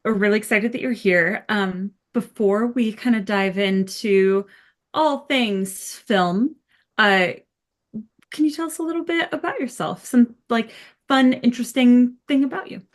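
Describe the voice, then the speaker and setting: A woman's voice: fairly high pitch, measured pace. A woman co-hosting a podcast, asking rambling questions in an enthusiastic, chatty way. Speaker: podcast co-host. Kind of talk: casual interview chat.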